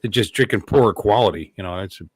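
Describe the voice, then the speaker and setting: A man with a fairly low voice, chatting casually and teasing the others. He rambles and restarts his sentences as he talks. His tone is relaxed and joking. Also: quick pace, breathy edge, no room sound. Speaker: livestream participant. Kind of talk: casual chat.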